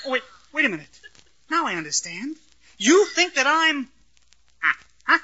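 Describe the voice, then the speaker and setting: A man's voice: very high voice, sing-song, normal speed. A man acting in an old radio comedy as a timid, stammering wisecracker bluffing as a tough gangster. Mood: flustered, nervous. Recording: noisy, muffled old radio recording.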